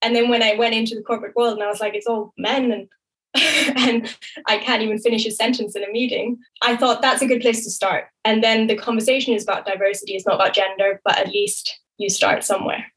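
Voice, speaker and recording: A young woman who grew up in Thailand, talking casually as a podcast guest, often tagging her points with "right?" and quick laughs. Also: a little high, steady tone, fairly bright tone, rough recording. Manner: chatty, frequent "right?" tags and quick laughs. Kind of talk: casual interview talk.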